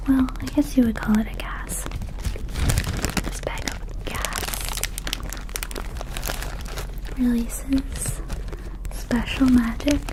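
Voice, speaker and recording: A woman's voice: on the high side, poor audio. Woman playing a whispering, coaxing vampire shopkeeper. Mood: playful explaining. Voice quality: soft breathy whisper. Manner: soothing, coaxing, hesitant asides. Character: friendly, mischievous young female vampire herb-shop keeper. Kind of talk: ASMR roleplay, whispered.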